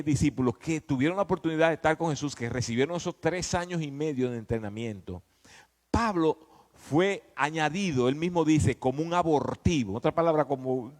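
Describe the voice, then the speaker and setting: A man preaching in a conversational, emphatic teaching style, often prompting his listeners with questions. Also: a little high. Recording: echoey hall with long reverb.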